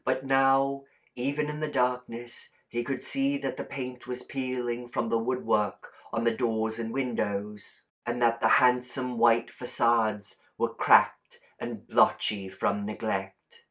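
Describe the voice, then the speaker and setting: A man narrates a piece of fiction for an audiobook. His voice sits at a middle pitch. He reads from the text in an expressive, deliberate storyteller's manner, shaping the phrases as he goes. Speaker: audiobook reader.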